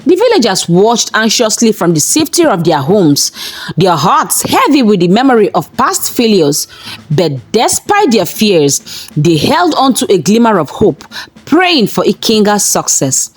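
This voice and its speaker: A woman storyteller reading a scripted folktale in a measured, dramatic manner. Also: medium pitch, sing-song, breathy edge. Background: background music.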